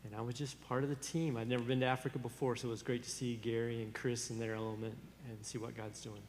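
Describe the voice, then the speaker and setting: A man's voice: medium pitch, little pitch movement, fast talker, darkish tone, slight background noise. A man speaking modestly and matter-of-factly on a church panel. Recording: echoey hall heard from afar.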